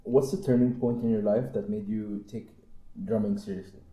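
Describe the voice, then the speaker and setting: A man's voice: fairly low pitch, fairly even intonation, normal speed, dark tone. Young man asking questions in an echoey room. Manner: brief, reads prepared questions with short reactions. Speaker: student interviewer. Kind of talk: interview questions.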